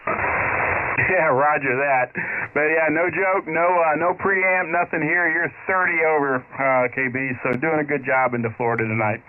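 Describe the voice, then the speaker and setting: A man's voice: a little high, fairly even intonation, a little slow. Easygoing man over a noisy radio. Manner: easygoing and chatty, with fillers. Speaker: ham radio caller. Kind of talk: casual on-air radio chat. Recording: narrow shortwave radio signal with noise.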